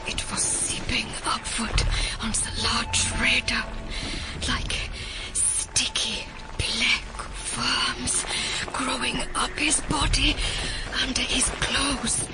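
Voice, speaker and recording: An actress playing a shaken, dedicated female scientist in an audio drama, speaking in a slow, hushed way. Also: a little high, level tone, in a whisper. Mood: haunted, shaken. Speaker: voice actor. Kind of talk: modern audio drama acting. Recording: dramatic music under the voice.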